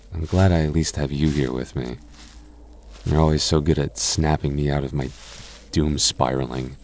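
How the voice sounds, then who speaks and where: A man's voice: a bass voice, steady tone, normal speed, long pauses, a little mellow, slight background noise. A young man playing an anxious, easily spooked but protective goth boyfriend in a roleplay audio drama, with a hesitant, stammering, sighing delivery. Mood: nervous, exasperated.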